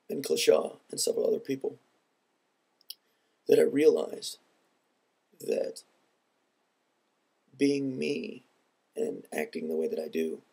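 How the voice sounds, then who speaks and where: A man speaking in an earnest, measured and reflective way in a personal vlog, his voice congested from a head cold. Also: medium pitch, gravelly, dark tone, breathy edge. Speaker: video blogger.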